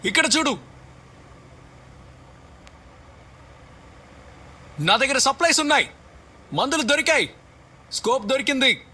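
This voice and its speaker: A man voicing an urgent, excitable squadmate in a battle royale video game, giving clipped commands. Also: extremely high pitch, fairly quick, husky, full character voice. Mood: urgent alert. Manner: clipped, imperative callouts. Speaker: game character voice.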